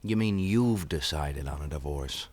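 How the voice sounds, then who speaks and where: A man's voice: rumbling low, lively intonation, a little slow, a little mellow, exaggerated voice. A man playing an earnest, confrontational young adult son in a 1970s radio drama, insistent and emotional. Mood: challenging. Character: earnest, confrontational grown son of wealthy businessman.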